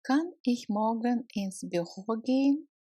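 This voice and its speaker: A woman narrating a language lesson, reading short scripted phrases deliberately and separating the words for learners. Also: fairly high pitch, expressive, mellow, no echo.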